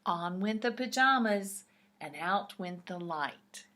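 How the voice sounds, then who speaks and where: A woman reading a picture book aloud to young children in an expressive, deliberate, explanatory way. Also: medium pitch.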